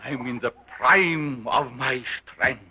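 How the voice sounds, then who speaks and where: An actor playing a sinister, theatrical doctor who exhibits an ape, speaking in a grandiose, declamatory way with slow dramatic pauses, on an old film soundtrack. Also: male voice, mid-range voice, slight background noise, close to an everyday voice. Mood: solemn. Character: sinister theatrical male doctor showman, commanding. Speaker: sideshow showman presenting an ape. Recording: dull lo-fi early film soundtrack.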